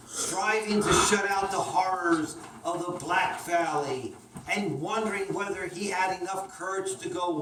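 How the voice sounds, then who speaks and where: A woman narrating a scripted children's story in a deliberate, dramatic way, as a storyteller. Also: medium pitch. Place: indoor room, picked up from a distance. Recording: distant echoey room, clear noise.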